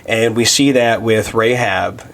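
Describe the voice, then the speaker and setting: A man's voice: fairly low pitch, fairly even intonation, a little slow, creaky, fairly bright tone. A man in his thirties giving a casual devotional Bible teaching, thinking aloud with many restarts and fillers. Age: 30s.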